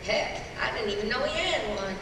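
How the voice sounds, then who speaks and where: Feisty superheroine, echoing hall. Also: female voice, on the high side, expressive, a little fast. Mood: folksy. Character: feisty, folksy Southern superheroine. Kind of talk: live animated-series table read. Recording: echoey noisy hall, far from mic.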